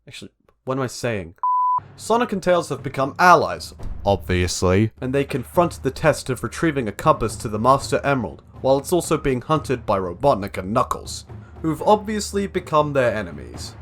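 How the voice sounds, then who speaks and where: Young man narrating with lively pitch. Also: moderate pace, a little hiss or hum. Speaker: video essayist. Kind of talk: scripted video essay narration.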